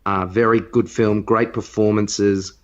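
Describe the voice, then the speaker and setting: A man with an Australian accent and a fairly low voice, recorded with a dull, lo-fi sound. He chats casually as a podcast co-host, chipping in and teasing. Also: level tone, measured pace. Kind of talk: casual banter about films. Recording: dull lo-fi remote-call sound.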